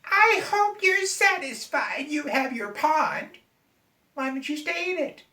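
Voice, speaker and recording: A woman's voice: high-pitched, animated, slow, bright, forceful tone, heavily acted. A woman voicing a miserable, petulant little girl, prone to tears, in a children's bedtime story. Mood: sulky. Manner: petulant, tearful. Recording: echoey room, off the mic.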